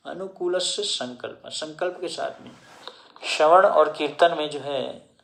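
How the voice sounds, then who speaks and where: A man giving a devotional discourse in Hindi, slowly explaining scripture verses and mixing in English words. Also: a little high, creaky, a bit bright, low-quality recording. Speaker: devotional speaker.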